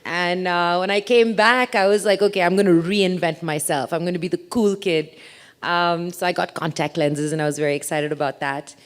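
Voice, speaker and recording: A woman's voice: on the low side, steady tone, normal speed. A woman in her thirties from India, with an English accent mixed from a childhood abroad, giving a chatty, self-mocking talk on stage. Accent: mixed international English, from a childhood abroad. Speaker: speaker. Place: stage talk to a live audience.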